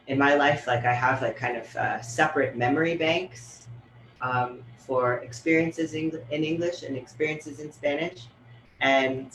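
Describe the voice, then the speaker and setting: A man's voice: medium pitch, fairly bright tone, echoey room, a little hiss or hum, rough recording. A man talking over a video call in a thoughtful, loose way, with many fillers. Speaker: writer and fellow in a panel conversation. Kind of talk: reflective casual conversation.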